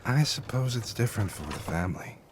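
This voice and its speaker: A man plays a courteous, philosophical cart driver in a fantasy audio drama. His voice sits very low, and he speaks with formal, deferential care. A faint noise runs behind him. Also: big pitch swings, a little fast. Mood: reflective, earnest.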